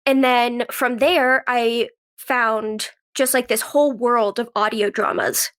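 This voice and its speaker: High-pitched young woman with a cold. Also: fairly even intonation, slow pace, fairly bright tone. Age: 20s. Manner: youthful, conversational, peppers talk with "like". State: has a cold. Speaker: guest, actress and writer. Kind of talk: casual interview chat.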